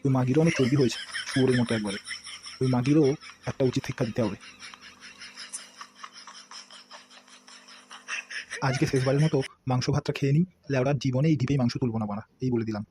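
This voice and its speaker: A man's voice: medium pitch, very fast, lightly acted. A man voicing a foul-mouthed, hot-tempered middle-aged father in a comic cartoon, grumbling and cursing. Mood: ranting. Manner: crude, grumbling, shouts threats. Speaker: voice actor. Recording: noisy homemade recording.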